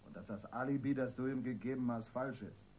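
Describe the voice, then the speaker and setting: An actor as a sardonic police detective, faint noise. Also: male voice, medium pitch, fairly quick, darkish tone, lightly acted. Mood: needling, sardonic. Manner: colloquial, sardonic. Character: wry, needling, self-assured male police detective. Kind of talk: German radio crime drama acting. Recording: radio play with faint noise.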